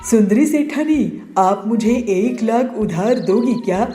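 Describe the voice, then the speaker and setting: A woman's voice: on the high side, lively intonation, takes it slowly, warm tone, echoey room, soft background music. A woman voicing a humble poor farmer bird. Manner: humble, pleading.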